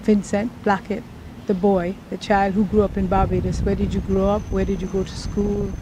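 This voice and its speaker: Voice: mid-range voice, little pitch movement, normal speed, noisy background. An interviewer putting formal, polite questions to a guest.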